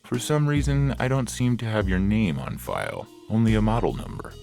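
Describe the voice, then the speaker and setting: A man playing a considerate, professional therapist who is calm and accommodating, in a science fiction audio story. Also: fairly low pitch, moderate pace, gravelly, pitched above the performer's own voice. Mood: curious. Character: considerate, professional male therapist with robot patients. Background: music.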